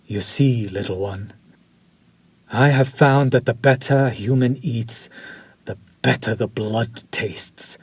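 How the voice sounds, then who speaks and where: An actor playing a refined, possessive male vampire chef who speaks formally, slowly and with quiet menace, in an audio roleplay for a listener. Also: mid-range voice, slightly rough, dry recording. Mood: smug. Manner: slow, formal, seductive and menacing.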